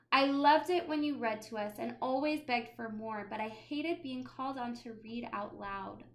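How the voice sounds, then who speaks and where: A woman reading a children's picture book aloud as a teacher, in an expressive style with dramatic emphasis. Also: a little high, slight background noise. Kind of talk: animated children's storybook read-aloud.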